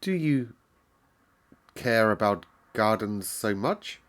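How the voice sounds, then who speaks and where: A man reading aloud and voicing a gloomy, tired gentleman who speaks slowly and gives clipped orders. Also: fairly low pitch, animated. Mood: startled, wondering. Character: gloomy, weary middle-aged gentleman of a manor.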